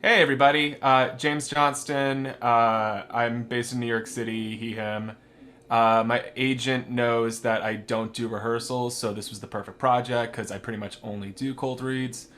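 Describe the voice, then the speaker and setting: A man's voice: medium pitch, little pitch movement, normal speed, a bit bright. A man, an actor, introducing himself casually with dry humour.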